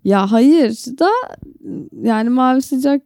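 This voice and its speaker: A woman's voice: high voice, takes it slowly, warm tone, smooth, dry recording, studio quality. A woman co-hosting a podcast in casual, joking conversation. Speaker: podcast co-host.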